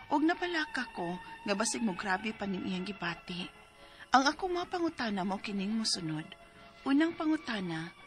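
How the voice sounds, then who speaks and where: A woman's voice: medium pitch, fairly bright tone, close to an everyday voice. Woman playing worried poor mother, cebuano accent, sighing and pleading, radio drama with music behind her. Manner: plaintive, sighing exclamations. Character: worried, poor mother of an ailing college student. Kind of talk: radio drama acting and letter narration.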